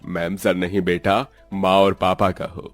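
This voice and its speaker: Man acting affectionate low-voiced older father. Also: level tone, unhurried. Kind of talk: dramatised story dialogue. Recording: music under the voice.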